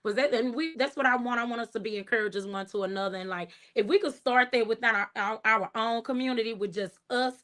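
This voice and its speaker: A woman hosting her first livestream, chatting casually and warmly, thanking viewers by name and laughing often. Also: fairly high pitch, fast, hardly any pauses. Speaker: livestream host. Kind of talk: casual livestream chat reading comments.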